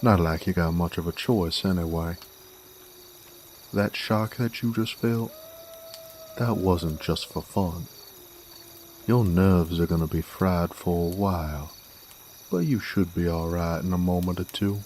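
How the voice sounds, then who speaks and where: Deep, slow-drawling Southern cowboy rogue, acted by a man. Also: steady tone, darkish tone, a little hiss or hum. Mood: smug, taunting. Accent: American Southern. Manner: drawling, folksy, teasing. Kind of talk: scripted roleplay audio drama.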